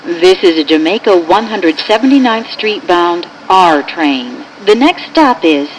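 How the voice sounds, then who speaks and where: An American woman giving formal recorded subway announcements in measured, pieced-together phrases. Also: on the low side, animated, poor audio. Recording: clear vehicle and air-conditioning noise.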